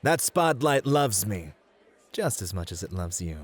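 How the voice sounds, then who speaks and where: A man's voice: fairly low pitch, sing-song, measured pace. A man playing a suave, competitive club host who flirts and teases theatrically in an ASMR roleplay. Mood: flirty challenge. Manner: smooth-talking, teasing, theatrical.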